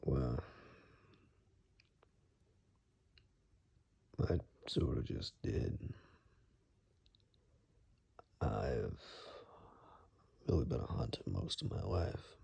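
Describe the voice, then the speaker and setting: A man playing a weary, rugged monster hunter in an ASMR roleplay, grave and halting, in a gravelly, breathy near-whisper. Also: extremely low pitch, mellow, exaggerated voice. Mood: reflective, guarded.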